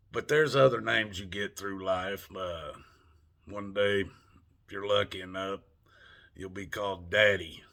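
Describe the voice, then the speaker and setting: Gravelly, slow-talking older Southern man. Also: sits low, sharp tone. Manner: rambling, folksy, salty. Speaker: vlogger. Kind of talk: casual monologue to camera.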